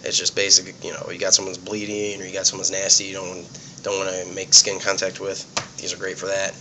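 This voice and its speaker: A man's voice: low voice, completely flat delivery, a little fast, sharp tone, a little hiss or hum, poor audio. A man giving a casual, plainspoken walkthrough of his police gear, explaining things simply and sounding sniffly from a cold. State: sniffly, getting over a cold.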